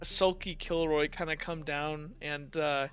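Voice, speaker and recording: A man running a tabletop game, improvising narration in a halting, informal way. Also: a little high, steady tone, bright, forceful tone, smooth. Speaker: game master. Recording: slightly muffled, music bed behind.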